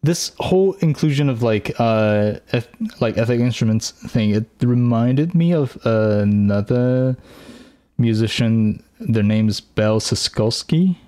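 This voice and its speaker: A man interviewing a musician in a casual chat, speaking haltingly with many fillers. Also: mid-range voice, lively intonation, dark tone.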